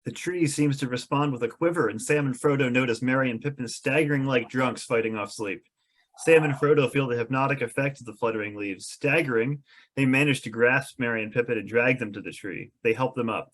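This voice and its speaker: A man's voice: mid-range voice, a little fast, fairly bright tone. A man reading scene descriptions as the narrator of a script table read.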